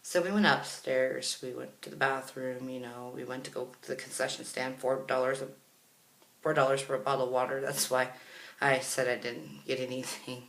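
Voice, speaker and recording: A man's voice: mid-range voice, steady tone, moderate pace, gravelly, fairly bright tone. An American man telling a casual, rambling story for a vlog, chatty and often correcting himself. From Kansas, United States. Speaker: vlogger. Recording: roomy, echoey sound.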